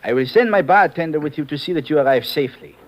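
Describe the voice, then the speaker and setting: Lively German-accented radio schemer played by a man. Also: a little high, quick pace, natural voice. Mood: amused, oily. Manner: suave, oily, formal. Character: sly, greedy, smug male European document broker. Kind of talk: 1950s radio drama acting.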